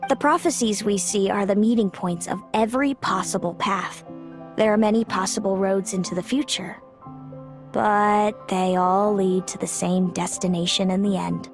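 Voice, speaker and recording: A woman voicing a proud, fatalistic older sister in a video game, measured and grave. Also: medium pitch, fairly even intonation, soft background music, natural voice. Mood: confident. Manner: measured, grave, speaks of fate with cool confidence.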